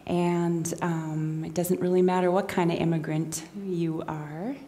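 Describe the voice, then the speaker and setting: A middle-aged woman from Minnesota giving an informal talk to a gathering as a guest speaker, unhurried and conversational, with many ums and restarts. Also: lowish voice, little pitch movement, dark tone. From Minnesota, USA. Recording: long reverb of a hall.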